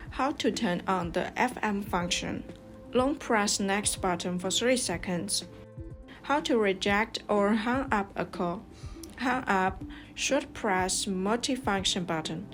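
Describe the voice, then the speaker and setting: A woman's voice: mid-range voice, slow pace, fairly bright tone. A woman narrating a scripted product review step by step as the reviewer. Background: music.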